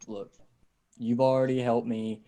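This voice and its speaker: A man playing a steady, decent small-town deputy and survivor in a casual dramatic table read over a video call. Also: mid-range voice, unhurried, close to an everyday voice. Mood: calm, reassuring. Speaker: actor in an amateur table read. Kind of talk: dramatic script reading.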